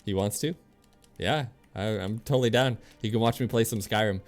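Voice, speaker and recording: A man's voice: fairly low pitch, normal speed. A man streaming a video game, chatting casually with viewers and commenting as he plays in an easygoing way. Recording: game music behind the voice. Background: video game music.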